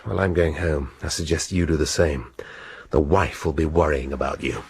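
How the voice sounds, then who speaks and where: A man's voice: very deep voice, steady tone, normal speed, darkish tone, husky, full character voice. An actor playing a weary, cerebral police inspector in a radio drama, clipped and cultured in his speech. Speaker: detective inspector.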